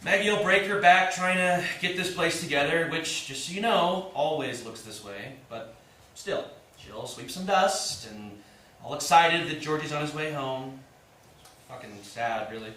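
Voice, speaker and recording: An actor playing a sardonic, self-deprecating washed-up musician son of about thirty in a staged play reading, dry, mocking and profane. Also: male voice, fairly high pitch, measured pace, bright, forceful tone. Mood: bitter. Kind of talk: staged reading, naturalistic dialogue. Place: indoor staged reading, recorded from afar. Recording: echoey room, distant mic, faint noise.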